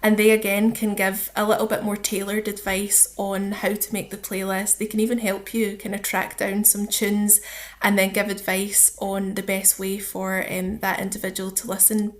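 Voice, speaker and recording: Female charity spokesperson for a radio interview: mid-pitched, fairly level delivery, earnest and explanatory. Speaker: charity communities officer, interview guest.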